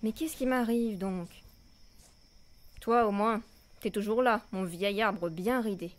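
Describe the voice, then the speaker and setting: A woman's voice: mid-range voice, takes it slowly, natural voice. A woman playing a puzzled, sleepless night owl muttering colloquially to an old tree in an audio fiction. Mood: puzzled, fond. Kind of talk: acted audio monologue.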